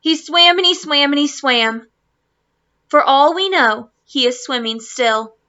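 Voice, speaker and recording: A woman teacher reading a children's storybook aloud to students, expressively and deliberately. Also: high voice, lively intonation, bright, forceful tone, dull audio. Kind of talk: children's storybook read-aloud in Spanish and English.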